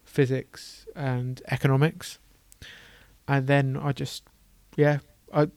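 An English man hosts a podcast, friendly and encouraging, with a gravelly, fry-tinged voice. His pitch stays level and unvarying. The recording is dry and close. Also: little pitch movement, slow pace, a little mellow, no room sound. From England. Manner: friendly, encouraging, hesitant fillers. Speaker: podcast host. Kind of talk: casual interview chat.

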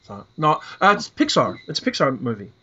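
A man hosting a podcast over an internet call, chatting casually about books in a halting way, often repeating and rephrasing himself. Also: medium pitch, huge pitch swings, bright, forceful tone, lo-fi sound. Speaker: podcast host. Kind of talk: casual literary discussion.

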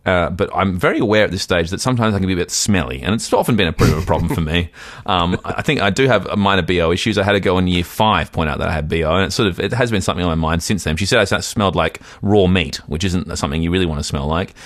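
A man's voice: sits low, fast talker, a bit bright, no echo, clean studio sound. A man co-hosting a casual comedy podcast, telling a rambling, self-deprecating story with lots of restarts. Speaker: podcast co-host.

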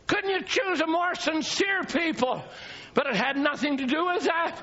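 A man preaching a fiery sermon to his church congregation, often shouting in a high, strained voice. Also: animated, normal speed. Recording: dull lo-fi church recording with congregation.

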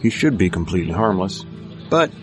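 A man's voice: sits low, sing-song, a little fast. A man playing a cool corporate client hiring mercenaries, formal and curt, in an audio drama. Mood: cool, businesslike.

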